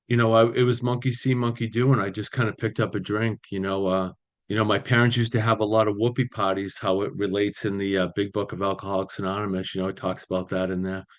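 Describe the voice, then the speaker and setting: A man, sharing his recovery story at a meeting over a video call, halting with many fillers. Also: fairly low pitch. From Boston area, US. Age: 60s. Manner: halting, many ums and you-knows, restarts. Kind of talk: personal recovery share.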